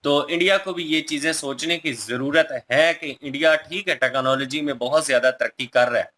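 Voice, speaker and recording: A man's voice: a little high, pushed and bright. A man giving emphatic, explanatory opinion commentary in a casual style as a reaction video co-host.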